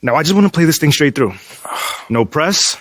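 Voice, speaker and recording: Animated young basketball star. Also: male voice, fairly high pitch, moderate pace, dry recording. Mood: confident. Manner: casual, slangy, self-assured. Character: confident, principled young male basketball star. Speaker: basketball player character. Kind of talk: video game cutscene acting.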